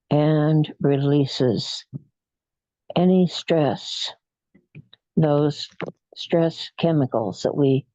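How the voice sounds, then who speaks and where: A person leading a guided meditation in a soothing, unhurried, hypnotic manner with frequent pauses. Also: mid-range voice, dark tone, no echo, muffled recording. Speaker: guided imagery meditation leader.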